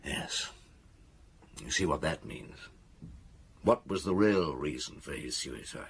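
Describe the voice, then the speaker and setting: Thoughtful detective, low voice, slightly rough, deliberate, authoritative, radio play. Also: male voice, animated, heavily acted. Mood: measured, instructing. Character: seasoned, thoughtful male French detective recounting a case. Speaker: actor in radio drama. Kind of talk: radio drama dialogue.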